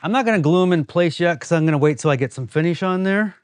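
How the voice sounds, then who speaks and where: A man's voice: fairly high pitch, fast, clear, resonant tone. A man hosting a woodworking vlog, explaining things in an informal, plain-spoken way. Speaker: host of a woodworking channel. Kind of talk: casual workshop vlog narration.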